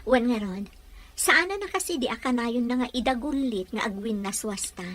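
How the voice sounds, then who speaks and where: High-voiced, earnest young daughter woman acting. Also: animated, moderate pace, a bit bright, a little hiss or hum. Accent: Ilocano. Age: young adult. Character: earnest, pleading grown daughter, soon to marry. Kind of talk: Ilocano audio drama acting.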